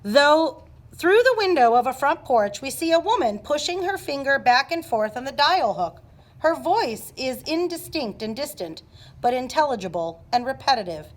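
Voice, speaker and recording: A woman's voice: sits high, sing-song, normal speed. A woman narrator reading scripted stage directions aloud.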